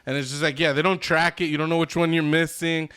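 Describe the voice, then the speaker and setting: A man's voice: fairly high pitch, level tone, fast talker, pushed and bright, clean tone. A man co-hosting a podcast, chatting casually about games and films in an opinionated, freely swearing way. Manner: opinionated, profane. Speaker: podcast co-host.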